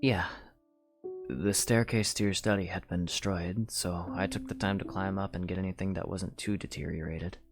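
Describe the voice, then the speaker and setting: A man voicing a quiet, steady young knight in a fan audio drama, reserved and plain-spoken. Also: low voice, moderate pace, over music.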